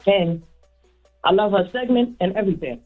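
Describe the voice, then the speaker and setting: A woman from the Bronx on a phone-like line with faint music behind. She is a radio caller with a medium-pitched voice, offering her opinion casually and a little hesitantly. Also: moderate pace. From the Bronx, United States. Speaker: radio call-in listener.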